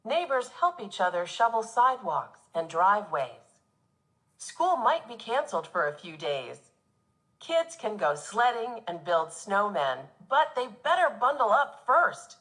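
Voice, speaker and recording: A woman teacher reading a nonfiction children's book aloud in an expressive, instructive way for young pupils. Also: medium pitch, unhurried, rough recording.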